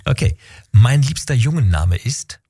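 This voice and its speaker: A man's voice: mid-range voice, lively intonation, unhurried, warm tone, breathy edge, dry recording, studio quality. A man hosting a radio interview in a conversational style, putting questions and prompts to a guest.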